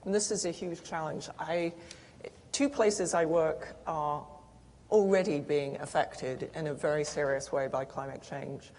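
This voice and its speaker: A woman's voice: sits low, steady tone, unhurried, a little mellow. A woman speaking as a panelist. She explains earnestly, with fillers and restarts. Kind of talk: panel discussion answer. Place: conference hall. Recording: long hall reverb.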